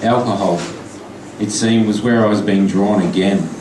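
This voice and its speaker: Low-voiced Australian poet reading in a pub. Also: male voice, steady tone. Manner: loose and halting between pieces, slow and deliberate when reading. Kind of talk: live poetry reading. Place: pub function room. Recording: echoey pub from far off with noise.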